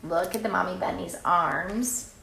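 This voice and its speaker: Expressive lady reading picture book to kids, slow n swoopy, roomy echo. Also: lowish voice, gravelly, a little hiss or hum. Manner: expressive, exclaiming, conversational. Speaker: children's storyteller and drama teacher. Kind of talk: reading a picture book aloud with chatty asides to children. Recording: roomy, echoey sound.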